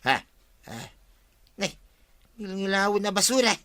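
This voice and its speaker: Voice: mid-range voice, expressive, normal speed, a bit bright, close to an everyday voice. A performer with an Ilocano accent playing a blunt, quarrelsome villager in a radio comedy drama, exclamatory and confrontational. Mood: exasperated.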